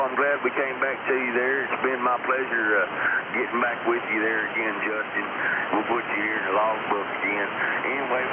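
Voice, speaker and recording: A man with a Southern US accent chatting casually and folksily over a radio transmission as an amateur operator. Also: mid-range voice. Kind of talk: casual ham radio chat. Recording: narrowband, very noisy shortwave radio.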